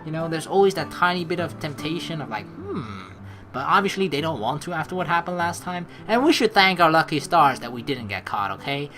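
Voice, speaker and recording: A woman's voice: sits low, very animated, brisk, a bit bright, soft background music. A woman let's play streamer reading game text aloud and adding chatty commentary.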